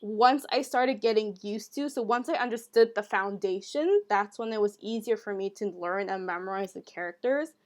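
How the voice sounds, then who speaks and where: Young woman, podcast co-host, casual storytelling, relaxed, filler-heavy. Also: medium pitch, moderate pace, few pauses. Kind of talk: casual chat.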